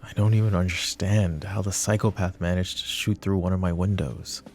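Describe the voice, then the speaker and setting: A man's voice: low-pitched, steady tone, moderate pace, a little mellow, slight background noise. A man playing a possessive, protective mafia boss in an intimate, sardonic boyfriend ASMR roleplay. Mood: tense, brooding. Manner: intimate, brooding, sighing. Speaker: voice actor.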